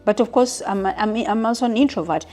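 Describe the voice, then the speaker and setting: A woman's voice: mid-range voice, brisk, a little mellow, soft background music. A woman in her fifties from Uganda, chatting casually and openly with her viewers in a question-and-answer vlog, often stopping to rephrase. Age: 50s. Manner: chatty, frequent restarts and asides to viewers. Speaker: vlogger.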